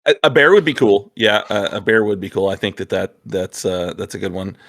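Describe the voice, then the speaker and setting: A man's voice: lowish voice, steady tone, a little fast, gravelly, a bit bright. A man co-hosting a casual geeky chat, agreeable and thinking aloud as he goes. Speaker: co-host of a hobby video channel.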